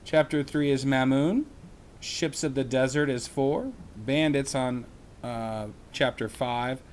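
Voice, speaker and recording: A man who teaches reads a children's chapter book aloud in a slow, expressive way, with small slips. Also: mid-range voice, slight background noise.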